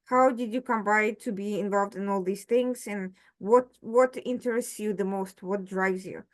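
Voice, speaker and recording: Woman host, halting delivery. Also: medium pitch, steady tone. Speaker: host, editor-in-chief of a news program.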